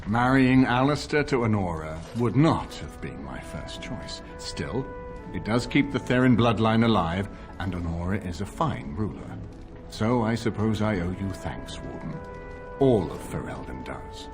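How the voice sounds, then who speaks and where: A man's voice: on the low side, lively intonation, measured pace, music in the background. A man playing a stately older nobleman in a fantasy game, formal, sober and authoritative. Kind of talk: video game cutscene acting.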